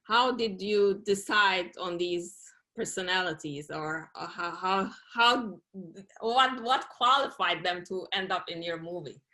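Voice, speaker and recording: A woman's voice: lowish voice, a little slow, pushed and bright. A woman moderating an online event, asking a question hesitantly and stumbling over her wording. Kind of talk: audience question. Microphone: video call.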